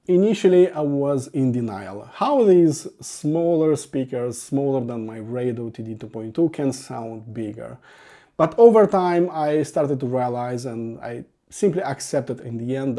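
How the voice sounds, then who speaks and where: A man presenting a semi-scripted product review as an audio gear reviewer, opening phrases with emphasis and trailing off softer. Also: mid-range voice.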